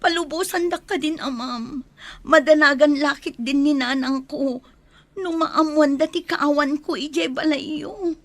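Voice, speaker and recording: Scared captive maid, really high pleading voice, ilocano accent, radio drama. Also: female voice, a little slow, no room sound. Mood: meek pleading. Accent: Ilocano Filipino. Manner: meek, pleading. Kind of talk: Filipino radio drama acting.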